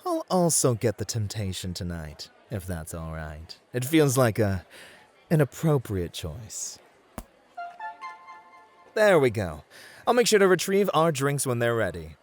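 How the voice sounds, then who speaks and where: A man playing a courteous, playful bunny-club host in a flirty ASMR roleplay, with gentlemanly phrasing. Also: mid-range voice, big pitch swings, unhurried, faint music behind. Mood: courteous, attentive.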